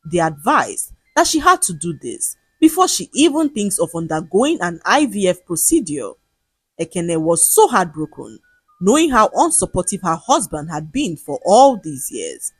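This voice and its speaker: A woman's voice: mid-range voice, slightly rough, no echo. A woman storyteller giving a dramatic, scripted narration of a folktale.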